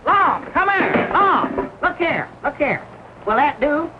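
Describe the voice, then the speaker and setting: Excitable rural Southern storekeeper, extremely high voice, stammering, muffled vintage film sound. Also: male voice, slow pace, faint music behind, exaggerated voice. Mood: excited. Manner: stammering, rustic grammar. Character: excitable, folksy small-town country bumpkin shopkeeper. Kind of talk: 1940s radio-comedy acting. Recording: muffled old film soundtrack, faint hiss.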